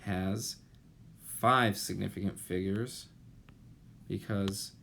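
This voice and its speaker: A man in his thirties giving an explanatory lecture as an instructor, deliberate and pausing, with rhetorical questions. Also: low voice, vocal fry. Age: 30s. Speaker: chemistry instructor. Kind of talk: explanatory lecture over slides. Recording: noticeable steady background noise.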